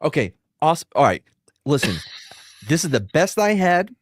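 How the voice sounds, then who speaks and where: A man's voice: a little high, moderate pace, no echo, clean studio sound. A man hosting a casual podcast, laughing as he reads listener emails aloud. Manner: laughing, animated, reads emails in funny voices. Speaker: podcast host.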